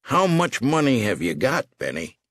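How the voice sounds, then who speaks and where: A man's voice: medium pitch, huge pitch swings, normal speed, a bit bright, no room sound, studio quality, pitched above the performer's own voice. A man voicing a menacing gangster loan shark in an audiobook, taunting with theatrical mock courtesy. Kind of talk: audiobook character voice.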